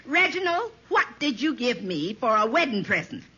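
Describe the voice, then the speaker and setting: An actress playing a forceful, indignant woman with an imperious, emphatic delivery in old-time radio sitcom style. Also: a little high, sing-song, normal speed, husky, full character voice. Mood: brisk, demanding. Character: forceful, indignant woman questioning a supposed husband. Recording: muffled vintage radio recording, audience, faint hiss.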